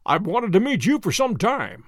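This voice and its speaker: Man doing a cool, unruffled sleuth: clipped, ironic, fairly high, lively pitch, dry sound. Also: moderate pace, dry recording. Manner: calm, clipped, ironic replies. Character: cool, languid male detective, dryly amused.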